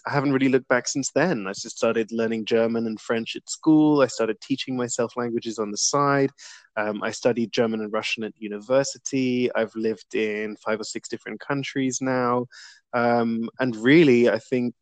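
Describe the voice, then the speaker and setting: A man's voice: medium pitch, dry recording. A young man answers interview questions in an earnest, reflective way, with frequent ums. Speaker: interview guest.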